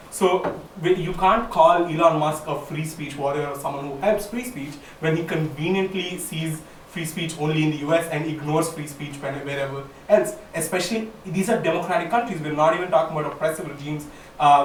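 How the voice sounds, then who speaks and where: Man, slightly high pitch, earnest, pressing, searches for words, audience question, off-mic, roomy hall, faint ambience. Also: recorded from a distance, slight background noise. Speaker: audience member asking a question.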